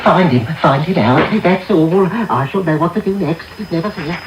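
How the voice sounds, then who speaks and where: A man's voice: on the high side, animated, normal speed, close to an everyday voice. An actor playing a scheming old criminal gang master in a radio drama, oily and insistent, drawing out his endearments. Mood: wheedling, urgent. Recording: noisy, roomy radio taping.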